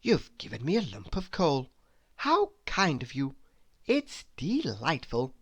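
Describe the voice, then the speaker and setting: A woman voicing an unfailingly polite, gentle male storybook creature with a soft, courteous manner. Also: extremely low pitch, wildly swooping pitch, slow pace, husky, no echo, exaggerated voice. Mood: grateful.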